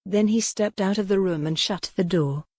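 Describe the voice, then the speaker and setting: A woman narrating a novel in a measured, even storytelling manner on a close mic. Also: medium pitch, dry recording. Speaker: audiobook narrator. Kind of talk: scripted narration.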